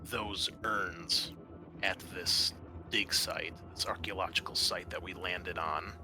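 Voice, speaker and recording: A man's voice: low-pitched, fairly even intonation, takes it slowly, over music. A man playing a calculating company woman scientist bargaining and explaining in a tabletop roleplaying game. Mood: grim explanation. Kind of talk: in-character tabletop roleplay dialogue.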